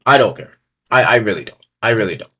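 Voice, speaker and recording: A man's voice: lively intonation, fast, husky. A young man giving casual commentary as he ranks items on a tier list. He talks in a crude, blunt, slangy way, reading each item aloud and then riffing on it. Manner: swings from low mutters to loud yelling.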